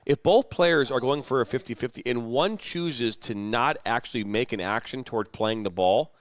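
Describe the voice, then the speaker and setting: A man's voice: medium pitch, moderate pace, no room sound, studio quality. A man calling a youth soccer match play-by-play, chatty and explaining referee decisions at length. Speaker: play-by-play commentator. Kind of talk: sports commentary. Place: commentary booth at soccer complex.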